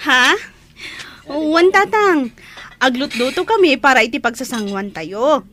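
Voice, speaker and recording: A woman's voice: high voice, lively intonation, measured pace, sharp tone, heavily acted. A woman playing a sweet, modest young village woman in a radio-style story drama. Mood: chatty.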